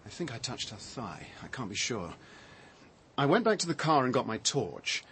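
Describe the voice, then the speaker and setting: An actor playing a shaken, defensive man with a halting, reflective, dry delivery in a radio drama. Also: mid-range voice, sing-song, fairly bright tone, husky, heavily acted. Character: shaken man recounting his discovery, defensive, sardonic.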